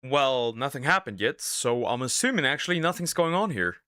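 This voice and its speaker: A man's voice: mid-range voice, sing-song, moderate pace, pushed and bright. A man casually reacting to a video, talking in a laid-back, repetitive way and often asking "right?". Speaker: VTuber host reacting to a video.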